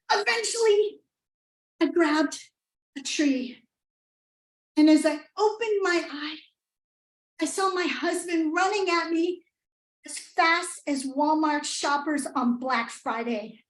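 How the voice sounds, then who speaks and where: A middle-aged American woman giving a rehearsed, humorous storytelling speech in a theatrical manner with dramatic pauses. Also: extremely high pitch. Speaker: speech contestant. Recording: roomy, echoing live speech pickup.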